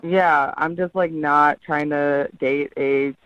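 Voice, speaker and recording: A woman's voice: low voice, steady tone, slow, muffled recording, crowd noise. A woman calling in to a dating show, talking casually in an incredulous, slangy, argumentative way. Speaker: dating show call-in guest. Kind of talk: casual argumentative phone chat.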